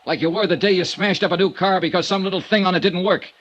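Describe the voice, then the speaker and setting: An actor playing a hard-boiled insurance investigator, clipped and firm, in a 1950s radio drama with narration. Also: male voice, high-pitched, steady tone, fast talker, fairly bright tone, highs cut off. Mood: urgent.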